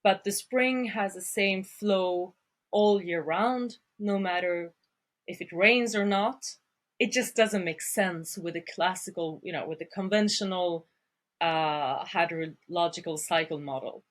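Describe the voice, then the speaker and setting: Slow, thoughtful woman. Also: lowish voice. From Sweden. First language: Swedish. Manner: thoughtful, hesitant, frequent fillers. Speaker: guest, water surveyor. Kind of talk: podcast interview.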